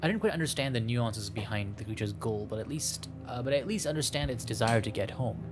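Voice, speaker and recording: A man doing a casual game playthrough, reading the story text aloud in a halting way, stumbling over words. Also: mid-range voice, music in the background. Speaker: streamer playing a visual novel.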